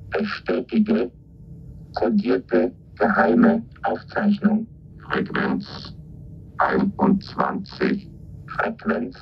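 Military robot, stiff clipped delivery, pitch leaping wildly, dull noisy radio drama recording. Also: male voice, gravelly, full character voice. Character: two military negotiation robots exchanging coded messages, formal, mechanical.

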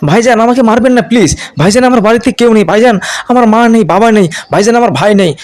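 A man's voice: very high-pitched, very fast, a little mellow. A man with a Bangladeshi accent voicing a frightened, simple-minded orphan man who pleads and whimpers in a comedy cartoon. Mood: desperate begging. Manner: pleading, whimpering, repeatedly addressing elders respectfully.

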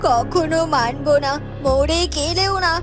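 A woman's voice: high-pitched, unhurried. A woman voicing a brave young palace gardener in a Bengali cartoon, defiant and emotional. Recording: music under the voice.

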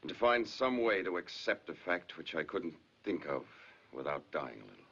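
A wounded, courteous husband in a 1950s screen drama. His voice is very low and husky, with phrasing that is restrained and halting yet moves up and down a lot. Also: sing-song, a bit bright, heavily acted. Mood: pained. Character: troubled, hurt married father, reserved and courteous. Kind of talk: 1950s film drama acting. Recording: dull, lo-fi 1950s film soundtrack.

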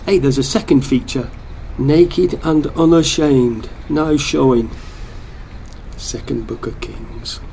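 A lonely, dithering man played by an actor mutters through his options in a radio play monologue. His voice has a gravelly creak, over faint background noise. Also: medium pitch, slow pace, darkish tone, rough recording. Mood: deliberating. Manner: muttering, deliberating to himself. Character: hesitant, lonely man talking himself out of things.

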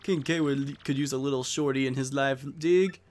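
A man's voice: medium pitch, fairly even intonation, moderate pace, a little mellow, lightly acted. A man voicing a cool, swaggering video game fighter with a slangy, laid-back way of talking. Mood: cocky. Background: game music.

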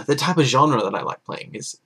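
A man's voice: medium pitch, normal speed, bright, forceful tone, slightly rough. A young man on a webcam in his bedroom, explaining his tastes in an earnest, reflective way. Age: young adult. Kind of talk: casual webcam interview answer. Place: bedroom indoors.

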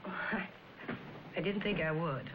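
An actress playing a middle-aged American mother in a 1950s television drama, speaking in a halting, wistful way. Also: fairly low pitch, background noise, natural voice. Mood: awkward, wistful. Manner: halting, hesitant. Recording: dull vintage TV soundtrack, orchestral music behind.

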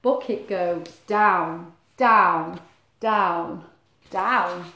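A woman's voice: fairly low pitch, drawn-out words, pushed and bright. A middle-aged woman reading a picture book aloud to children expressively, with chatty questions and asides, in a living room. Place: small living room, indoors. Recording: roomy echo of a living room.

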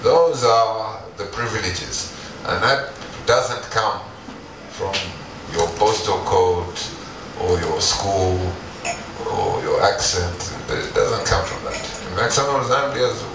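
A middle-aged man, an entrepreneur, giving an earnest, emphatic stage talk with pauses and restarts on a headset mic. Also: fairly low pitch, gravelly. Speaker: entrepreneur guest speaker. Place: event stage with audience. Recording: echoey room, distant, background noise.

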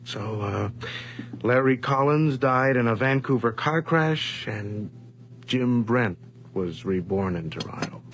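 An actor in a radio drama playing a nervous, scheming criminal who confesses haltingly. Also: male voice, mid-range voice, animated. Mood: confessing, uneasy. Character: nervous, scheming small-time criminal, stealer of identity.

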